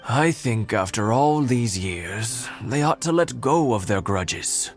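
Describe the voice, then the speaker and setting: A man playing a mild, reflective elderly father in a video game, speaking in a measured way. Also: mid-range voice, lively intonation, music in the background. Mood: resigned, apologetic.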